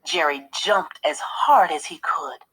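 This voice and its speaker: A woman reading a children's story aloud in an expressive narration style. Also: low-pitched, unhurried, breathy edge. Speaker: storybook reader.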